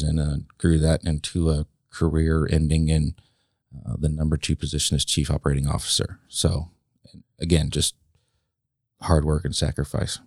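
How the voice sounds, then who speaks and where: A man in his late thirties talks in an extremely low, gravelly voice. He is a guest in a casual conversation, thinking aloud with frequent ums and self-corrections. The room sounds dry and close. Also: a little mellow, dry recording. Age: late 30s. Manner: reflective, halting, many ums and restarts.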